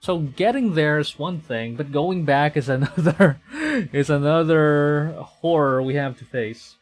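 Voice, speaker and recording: A man livestreaming a visual novel, reading it aloud with excitable, dramatic delivery and chatty casual asides. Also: on the high side, a little slow. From Philippines. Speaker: streamer. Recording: music behind the voice. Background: game music.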